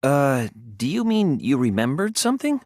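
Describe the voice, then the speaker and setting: A man voicing an earnest, thoughtful teenage boy detective in an English video game dub, reasoning deliberately through his lines. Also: medium pitch, very animated, darkish tone, no room sound, clean studio sound, natural voice.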